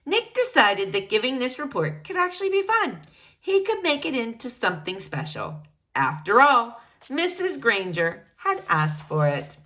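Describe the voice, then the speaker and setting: A teacher reading a chapter book aloud to young children, with an animated, expressive storytelling manner. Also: female voice, a little high, a little slow. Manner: animated, expressive, singsong address to kids.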